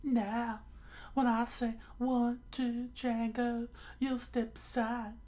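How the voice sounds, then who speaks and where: A woman's voice: fairly high pitch, flat delivery, slow, dark tone, pitched above the performer's own voice. A woman voicing an impatient, flamboyant male pirate hypnotist who gives commands with odd hooting cries. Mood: menacing.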